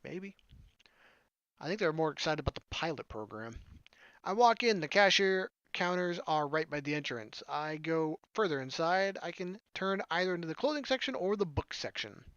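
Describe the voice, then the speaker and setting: A man's voice: a little high, animated, normal speed, fairly bright tone, clean tone, no echo, highs cut off. A man reading a visual novel aloud as a let's player, dropping joking, offhand asides over music. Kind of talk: gaming commentary mixed with reading narration aloud. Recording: music under the voice. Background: game soundtrack music.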